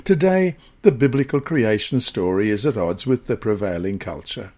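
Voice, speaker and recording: Man, husky voice, lively rise and fall, deliberate Bible lesson reading. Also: fairly low pitch. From Australia.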